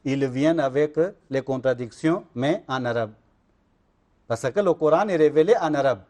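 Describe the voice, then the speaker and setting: A man, speaking in a fairly high voice. He argues insistently as an interview guest, circling back to check that he is understood. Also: a little mellow. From Pakistan. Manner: insistent, repeats "vous comprenez?". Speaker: Ahmadi missionary guest. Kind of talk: religious interview argument.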